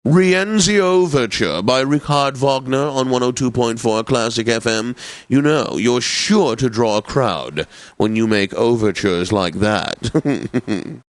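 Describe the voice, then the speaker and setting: A man's voice: mid-range voice, no echo, close to an everyday voice. Guy doing a snooty classical station dj for a game, super polite n theatrical, dry studio sound. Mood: stately, obliging, then drily playful. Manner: formal, mannered, over-polite, theatrical delivery. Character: pompous, genteel male classical radio DJ, drily witty. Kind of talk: scripted radio announcing.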